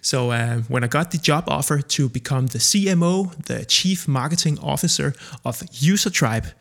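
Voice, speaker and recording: A Danish man in his thirties, a native Danish speaker, hosting his own podcast and telling his background in a relaxed way with many pauses and fillers. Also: mid-range voice, vocal fry. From Denmark. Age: 30s. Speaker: podcast host. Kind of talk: casual solo podcast monologue.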